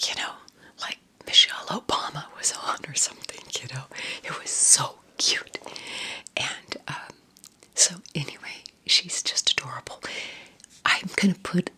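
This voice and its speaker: A woman whispering casual ASMR recommendations in a breathy, husky voice, with an unhurried, affectionate manner. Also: low-pitched, sharp tone. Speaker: ASMR content creator.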